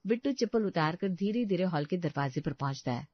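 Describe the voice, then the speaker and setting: Lady reading out a story, lowish voice, bit dull and very dry recording. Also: animated, brisk, no room sound. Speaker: narrator. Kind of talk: scripted storytelling narration.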